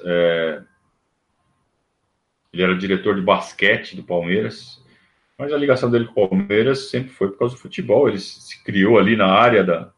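A man, talking casually and at length in a rambling livestream monologue, full of restarts and fillers. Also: sits low. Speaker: livestream host. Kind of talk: casual monologue on club history.

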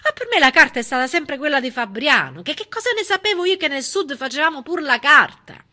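A woman performing a theatrical narrative monologue as a stage storyteller, emphatic, with dramatic pauses and an ironic edge. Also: high voice, very animated, bright, forceful tone.

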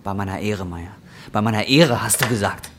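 An actor in a radio drama playing a desperate, embittered young roofer, intense and volatile. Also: male voice, fairly low pitch, moderate pace, fairly bright tone, a little hiss or hum. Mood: bitter, furious. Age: young adult.

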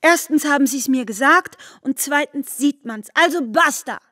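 A woman's voice: high-pitched, moderate pace, bright, forceful tone, no room sound, studio quality. An actress playing an evasive, defiant young female lover in a literary radio play, speaking in a casual, dismissive manner. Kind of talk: literary radio drama acting.

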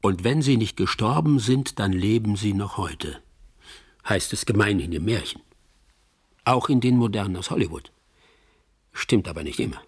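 Crime radio drama narrator: wry old friend, lowish husky voice, deliberate delivery. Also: male voice, lively intonation. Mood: wry, reflective. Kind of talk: radio play narration, reporting like an epilogue.

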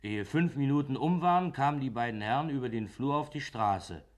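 A man's voice: medium pitch, animated, a little fast, lightly acted. An actor playing a simple farmhand and coachman, speaking deferentially and colloquially in a German radio play. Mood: steady recounting. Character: plain, obedient farmhand and coachman telling his account.

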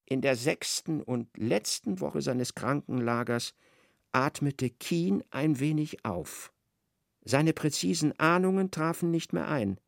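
An actor playing the precise, articulate narrator of a literary radio drama. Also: male voice, a little high, slow, gravelly, dry recording, studio quality. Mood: precise, wry. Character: precise, observant third-person male narrator of a novel.

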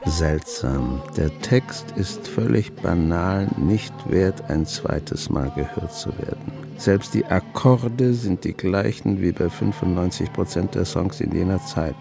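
A man's voice: sits low, takes it slowly, creaky, hardly any pauses, music in the background, full character voice. An actor in his seventies playing a cynical, impatient old grandfather with a dry, disdainful manner in a German radio drama. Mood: dry, dismissive. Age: 70s. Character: grumpy, cynical old grandfather, former songwriter.

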